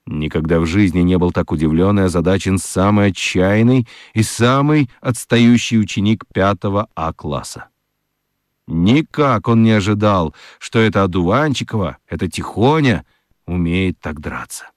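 Low, animated male storyteller. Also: no room sound, clean studio sound. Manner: dramatic, expressive storytelling with deliberate pauses. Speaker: narrator. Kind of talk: scripted storytelling narration for children's radio.

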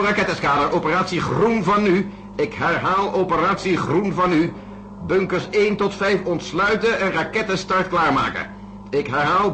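A commanding space engineer who barks out launch orders. His voice sits fairly high, and the old radio play recording hisses with noise. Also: sharp tone. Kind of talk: 1970s radio drama acting.

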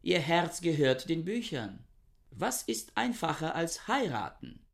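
A pedantic, reclusive scholar around forty in a radio drama, thinking aloud in a stiff, formal way. He talks slowly with gravel in his voice and a lively melody. The sound is dry and close. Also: on the high side, dry recording, heavily acted. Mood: brooding. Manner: stiff, formal, brooding inner monologue. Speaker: actor playing Peter Kien. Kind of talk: radio play acting.

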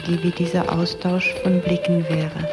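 Lowish-voiced woman narrating over music. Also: flat delivery, normal speed. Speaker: interpreter retelling the story. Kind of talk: measured narrated translation. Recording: music playing behind the voice.

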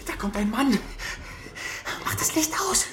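A man acting a defiant teenage boy from a poor home in a radio drama. His pitch swings widely as he speaks, restless and sullen, with faint noise behind him. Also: expressive, normal speed, lightly acted. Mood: urgent, tense.

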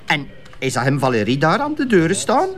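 A man's voice: on the high side, huge pitch swings, a little fast. An actor with a Flemish accent playing an eager, slightly dim policeman in a radio detective drama, chatty, hesitant and defensive.

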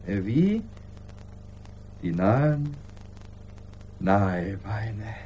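An actor playing a plodding clerk in a radio play, laboriously reading aloud word by word. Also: male voice, fairly low pitch, lively intonation, dark tone. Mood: laborious, halting. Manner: halting, word by word. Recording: clear hum and noise behind.

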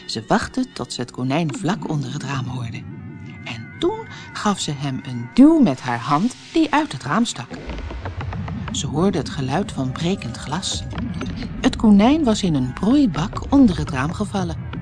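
A woman's voice: deep voice, very animated, unhurried, over music. A woman narrating a children's fairy tale as an audiobook, with dramatic, carefully phrased storytelling.

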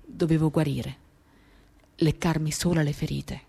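A woman giving a dramatic, confiding first-person reading of literary prose, measured and intimate, in short reflective phrases. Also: deep voice, steady tone, vocal fry, warm tone, slightly rough. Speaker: reader of a novel for radio theatre. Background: acoustic guitar music.

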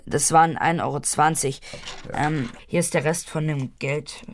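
A woman's voice: low voice, steady tone, unhurried, background noise. A woman playing a precocious, stubborn twelve-year-old grandson in a radio drama, formal and insistent in manner. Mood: earnest, insistent. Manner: formal, matter-of-fact, insistent. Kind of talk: German radio drama acting.

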